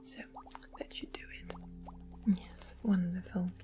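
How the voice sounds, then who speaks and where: A woman playing a sly, sweet-mannered fantasy witch in a whispered ASMR roleplay, soft and breathy, gentle and politely formal. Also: lowish voice, level tone, slow pace. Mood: sweetly inviting. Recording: clear background noise, ambient music.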